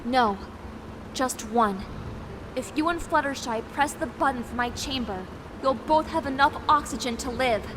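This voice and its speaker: A woman's voice: high voice, moderate pace, background noise, natural voice. A woman voicing an earnest, resolute young pony princess in a dramatic story reading, urgent and emphatic as she pleads. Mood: grim, resolute. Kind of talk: dramatic fan fiction reading.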